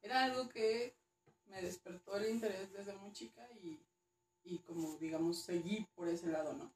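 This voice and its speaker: A woman's voice: mid-range voice, moderate pace, roomy sound, distant mic, a little hiss or hum, rough recording. A woman with a Mexican accent, a guest archaeologist chatting casually, hesitant and repeating words now and then. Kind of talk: casual interview chat.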